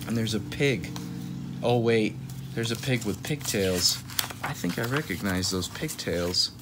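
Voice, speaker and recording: A man's voice: fairly low pitch, measured pace, background noise. A man in his thirties chatting playfully through a children's picture book, often wandering off into asides. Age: 30s. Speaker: read-aloud host. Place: small room at home, on a couch.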